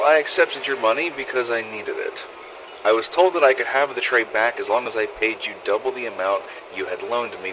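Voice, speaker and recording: An aggrieved woman, played by a man in a low voice reads a formal letter of complaint in a fan audio drama. The delivery is indignant and proper. Clear noise runs through the recording. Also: lightly acted.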